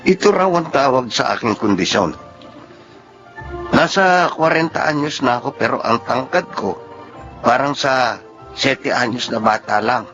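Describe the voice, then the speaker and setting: A man's voice: medium pitch, measured pace, pauses often, fairly bright tone, lightly acted. A man with a Filipino accent playing a proud, patient but stubborn forty-year-old father with dwarfism in a radio drama. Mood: reflective. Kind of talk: Filipino radio drama acting and first-person narration. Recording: muffled audio with music underneath.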